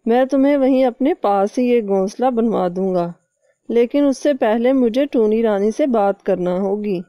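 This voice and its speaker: A woman's voice: on the high side, moderate pace, no room sound, highs cut off, lightly acted. A woman voicing a helpful, gullible male crow in a cartoon, with a trusting, conversational manner.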